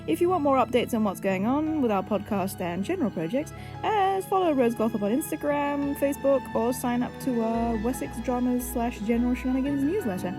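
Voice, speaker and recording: A woman's voice: on the high side, lively intonation, a little fast, hardly any pauses, rough recording. A woman hosting a podcast, giving an informal credits outro in a chatty, enthusiastic and self-mocking way. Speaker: podcast host and producer. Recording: music clearly behind the voice.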